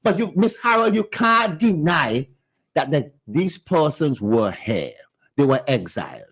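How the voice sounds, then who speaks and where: A man's voice: on the high side, big pitch swings, measured pace, a bit bright, slightly rough. A man co-hosting a radio talk show, lecturing insistently and talking over the others in a heated discussion. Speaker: radio co-host. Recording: radio studio.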